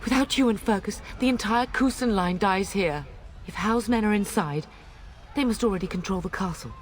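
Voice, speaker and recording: A woman's voice: medium pitch, normal speed, close to an everyday voice. A woman playing a middle-aged noblewoman mother in a fantasy video game, commanding, earnest and formal, fierce in her grief. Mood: urgent, resolute. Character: fierce, grieving noblewoman mother of a besieged castle. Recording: dramatic music behind.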